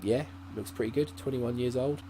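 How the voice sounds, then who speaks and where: A man's voice: mid-range voice, steady tone, moderate pace. A man hosting a gaming channel, giving casual, rambling commentary at his laptop with lots of fillers and restarts. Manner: rambling, thinking aloud with many fillers and restarts. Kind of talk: casual let's-play commentary. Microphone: desk mic at a laptop. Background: faint hum from a laptop.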